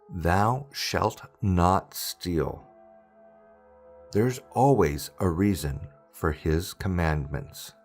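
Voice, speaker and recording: A man with a slightly low voice narrates a scary story over music. His delivery is slow and dramatic, with deliberate pauses and a lively, swooping range. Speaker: horror story narrator and channel host.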